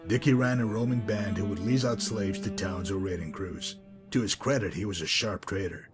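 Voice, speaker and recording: A man playing a calm, menacing hitman with a bitter past in a video game, speaking in a measured, deliberate, coolly condescending way. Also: on the low side, over music, natural voice. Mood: bitter, reflective. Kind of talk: dramatic video game mod monologue and dialogue.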